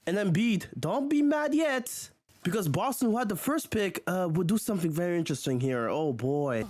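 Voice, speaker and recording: A man's voice: high voice, lively intonation, moderate pace, a little mellow, no echo. A man hosting a casual sports commentary video, chatty and exclaiming, often chuckling. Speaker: video host.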